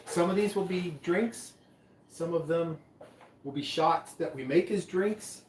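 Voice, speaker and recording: A man's voice: fairly high pitch, unhurried, distant mic, a little hiss or hum. Chatty man in an echoey room. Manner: rambling, chatty, many restarts. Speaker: livestream host mixing drinks. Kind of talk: casual live chat.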